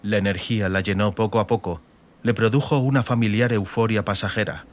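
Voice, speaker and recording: A man's voice: lowish voice, steady tone, a little fast. A man reading scripted, dramatic fantasy narration as an audiobook narrator.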